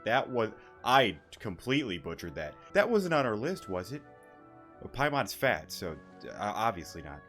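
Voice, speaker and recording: A man giving casual let's-play commentary, rambling and halting, with a joking manner. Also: on the low side, very animated, fairly bright tone. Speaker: gaming YouTuber. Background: game music.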